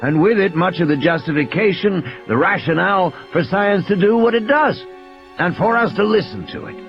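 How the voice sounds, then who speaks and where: A man's voice: sits high, animated, moderate pace, pitched above the performer's own voice. A man voicing an intense, ailing male scientist who lectures emphatically and grimly in an audio play. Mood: impassioned, bitter. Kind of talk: audiobook character dialogue. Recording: dull lo-fi audio, music behind.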